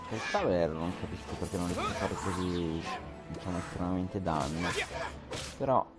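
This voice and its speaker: Low-voiced Italian man streaming a game. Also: fairly quick. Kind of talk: casual livestream commentary. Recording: voice over loud game music. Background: game music and sounds.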